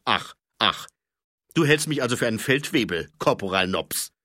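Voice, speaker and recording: A man voicing a gruff, grumbling, blustering older sergeant of the city watch. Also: fairly low pitch, big pitch swings, normal speed, sharp tone, no echo, clean studio sound, voice pushed up, heavily acted. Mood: mocking.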